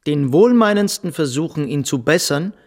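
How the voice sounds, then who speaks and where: An actor in a radio play reading out statements in a formal, official manner. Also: male voice, a little high, animated, normal speed, darkish tone, lightly acted. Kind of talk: scripted radio play acting.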